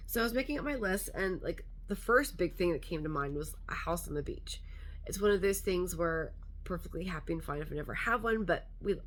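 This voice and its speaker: A woman vlogger chatting casually to camera, congested and a little nasal, rambling with lots of fillers. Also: lowish voice.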